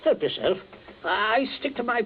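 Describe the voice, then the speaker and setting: A man's voice: high-pitched, big pitch swings, normal speed. A man playing a suave menacing father. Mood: falsely genial. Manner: suave, commanding, breezy menace. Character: cold, genial middle-aged father with a gun, menacing. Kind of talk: 1940s radio acting. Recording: tinny lo-fi archive radio with faint hiss.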